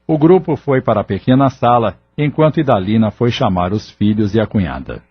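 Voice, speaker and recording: A man's voice: on the low side, animated, a little fast, slightly rough, no echo. A man reading scripted narration for an audio drama. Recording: dull lo-fi audio. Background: background music.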